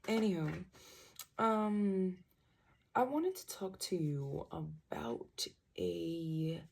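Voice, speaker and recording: Gravelly low-voiced woman rambling through a reading. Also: drawn-out words, darkish tone. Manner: rambling, trailing off, self-interrupting. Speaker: tarot reader. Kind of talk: casual tarot reading chat. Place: small home room at night, birds outside. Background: birds chirping.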